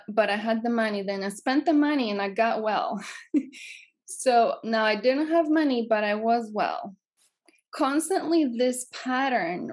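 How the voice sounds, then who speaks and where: Greek accent lady around 40 telling her story on a podcast, earnest, repeats stuff for emphasis. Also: mid-range voice. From Greece. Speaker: podcast guest. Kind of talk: personal storytelling in an interview.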